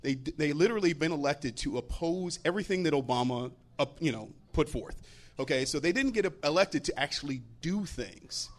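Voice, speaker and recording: A man's voice: fairly high pitch, expressive, a little fast. A man giving conversational political commentary as a panelist, emphatic and repeating key words.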